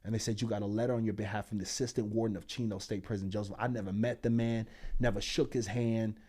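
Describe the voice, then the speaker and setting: A man in his twenties, a pastor and speaker, telling his story as a podcast guest in animated, slangy talk. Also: lowish voice, quick pace. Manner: animated, slangy storytelling that climbs loud when excited.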